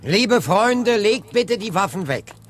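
An actor playing an earnest, gentle young preacher and husband in a radio drama, speaking deliberately. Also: male voice, high voice, big pitch swings, full character voice. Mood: earnest appeal.

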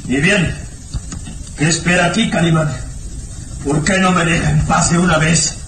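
A gaunt, trembling drunk, played by a man, snaps in a somewhat high, jittery voice. He sounds fearful and hostile, heard in an old radio play with faint tape hiss. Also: fairly even intonation, normal speed, lightly acted. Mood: angry, menacing. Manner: jittery, snapping, bitter. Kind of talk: 1960s radio drama acting.

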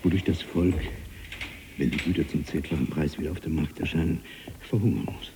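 An actor playing a learned, fearful prisoner in a brooding radio drama monologue, his husky, breathy voice halting and given to whispers. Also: extremely low pitch, noisy background. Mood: declaiming, bitter.

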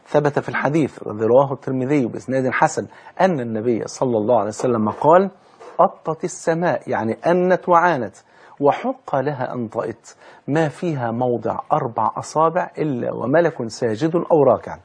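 An Egyptian man preaches in a husky voice of medium pitch. He talks quickly and emphatically, telling religious stories in colloquial speech mixed with formal recited quotations. He often stresses points through repetition and rhetorical questions. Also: darkish tone. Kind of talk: religious storytelling sermon mixing colloquial talk with formal recitation of scripture.

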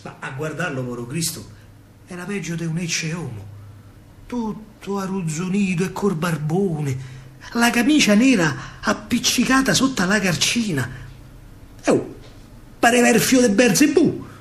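A man with a Roman accent telling a story in dramatic dialect verse, swinging between hushed and loud. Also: sits high, animated, measured pace. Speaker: stage storyteller, actor. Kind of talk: theatrical verse monologue in dialect. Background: faint live music.

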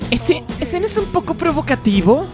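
A woman playing a caring, cautious mother who scolds gently, in a radio soap opera. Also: high voice, very animated, moderate pace, music in the background. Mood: doubtful.

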